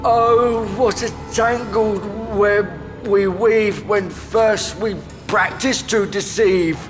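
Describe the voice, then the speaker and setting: A misshapen, servile henchman in an audio drama, childlike and fearful. His high voice comes slowly and haltingly. It rings in a long reverberant hall with music behind. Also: fairly even intonation. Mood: defensive, nervous.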